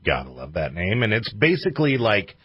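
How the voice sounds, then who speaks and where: A man with a low, husky voice hosts a casual radio show. He banters loosely, close and dry on a slightly dull, lo-fi recording. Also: big pitch swings, a little fast, no echo.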